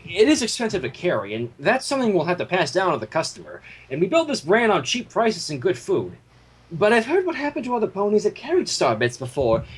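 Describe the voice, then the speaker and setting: A man's voice: high-pitched, wildly swooping pitch, a little fast, husky, full character voice. A man voicing a thrifty, cautious male pony shopkeeper in a story, reasoning hesitantly.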